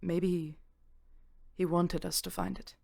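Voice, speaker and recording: A woman's voice: lowish voice, level tone, slow, creaky, a little mellow, no room sound, exaggerated voice. A woman voicing a guarded, uneasy vampire detective in an audio drama, talking in a careful, hesitant way. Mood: tense, businesslike.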